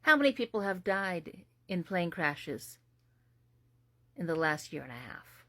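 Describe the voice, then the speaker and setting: A woman from the United States speaks in slow, drawn-out phrases, heavy with sarcasm and disbelief. Her voice sits in a middle register. The recording is dry and close. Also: on the low side, sing-song, a bit bright, no room sound. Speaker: commentary host. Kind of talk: political commentary.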